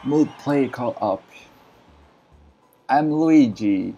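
A man from Brazil whose first language is Portuguese, chatting casually in halting English with many fillers and frequent laughs while streaming a game. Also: medium pitch, lively intonation, warm tone, dull audio, faint music behind. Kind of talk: casual live-stream commentary.

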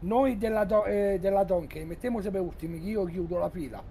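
A man's voice: high-pitched, fairly quick, dark tone. A man in casual gaming chat, insistently urging the others along. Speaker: online truck-convoy gamer.

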